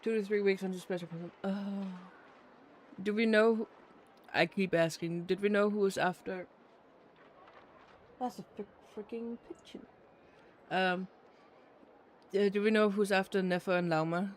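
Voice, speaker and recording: High-voiced man streaming a game. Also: fairly even intonation, measured pace, pauses often, a little hiss or hum. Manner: rambling, muttering asides, distractible. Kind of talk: casual live gameplay chat with viewers. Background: faint game music.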